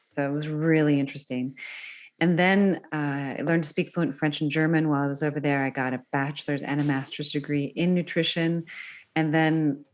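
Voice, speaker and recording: A woman's voice: low-pitched, steady tone, normal speed. A middle-aged woman from Northern Virginia, chatting enthusiastically as a podcast guest and laughing easily. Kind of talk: casual conversation.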